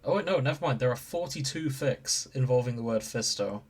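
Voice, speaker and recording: A man's voice: mid-range voice, expressive, fairly quick, a little mellow, breathy edge. A man co-hosting a podcast in casual chat, joking in a dry way. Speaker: podcast co-host.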